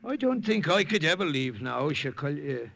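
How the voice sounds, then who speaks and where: A fatherly missionary priest from old radio drama. His voice sits fairly high and swings with a lively, folksy lilt. It has a bright, forceful edge. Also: normal speed, creaky, dry recording, full character voice. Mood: genial. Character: kindly, fatherly missionary priest leading jungle villagers. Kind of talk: 1950s radio drama acting.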